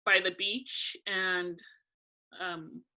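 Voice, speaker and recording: Young woman guest from California, bright tone, lowish pitch, unhurried and thoughtful. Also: low-quality recording. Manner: relaxed, thoughtful, frequent "um" pauses. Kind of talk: casual interview conversation.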